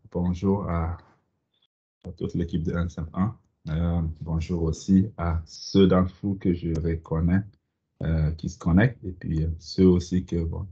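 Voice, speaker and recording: A man's voice: deep voice, dark tone, dull audio. A man from Mali presenting a business webinar over a video call, hesitant with many fillers and restarts. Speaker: company CEO presenting a webinar. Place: home or office in Canada. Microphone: video call microphone.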